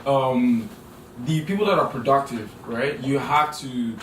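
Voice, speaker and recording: A man's voice: medium pitch, a little slow, distant mic. A man in a casual podcast chat who speaks emphatically, often repeating himself. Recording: echoey room, voice from off-mic, hiss.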